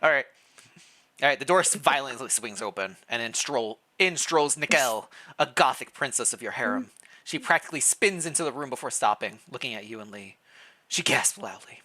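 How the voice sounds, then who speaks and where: Streamer reading fanfic out loud, jokey n playful, really animated bright voice. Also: medium pitch, brisk. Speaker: streamer reading fan fiction aloud. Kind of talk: live story reading with casual chat.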